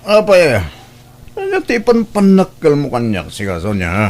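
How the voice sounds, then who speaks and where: A man's voice: a little high, huge pitch swings, normal speed. A man with an Ilocano accent acting a troubled, defiant and needy elder brother in an audio drama.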